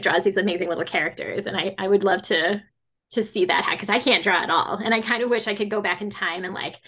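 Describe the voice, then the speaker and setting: A woman's voice: mid-range voice, fairly bright tone, breathy edge. A woman in her forties, a children's fantasy author, answering interview questions in a chatty way with many fillers and frequent laughter. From grew up mostly in Latin America.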